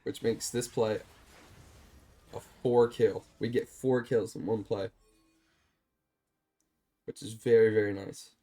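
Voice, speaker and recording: Man, low mellow voice, a bit stuffy, calm self-deprecating game review commentary. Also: slow, long pauses. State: slightly stuffed up. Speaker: streamer reviewing his own game. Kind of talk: gameplay commentary over a replay.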